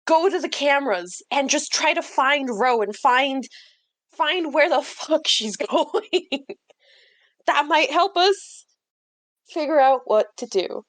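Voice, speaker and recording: A woman's voice: high-pitched, dry recording. A woman playing a tabletop role-playing game, thinking aloud in informal, giggly chat.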